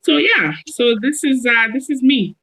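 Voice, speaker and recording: Hey, cheerful zimbabwe-born woman, bright sharp voice, chatting on a video call. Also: high voice, expressive, unhurried. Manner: cheerful, upbeat. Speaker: guest. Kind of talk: self-introduction on a video call show.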